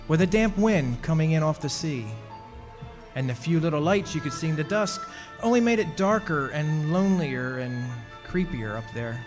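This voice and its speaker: An uneasy, haunted man, played by an actor confides his tale in an old-style radio play. His voice runs fairly high, conversational yet dramatic, with music behind it. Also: natural voice. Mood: eerie, recalling. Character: uneasy, confiding immortal man bound to a witch. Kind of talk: stage revival of 1940s radio drama narration.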